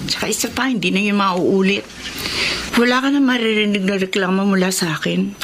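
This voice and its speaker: An actress playing a gentle, caring young wife and mother with a Filipino accent in a radio drama. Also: medium pitch, brisk, natural voice. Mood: soothing. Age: young adult. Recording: noisy radio drama track.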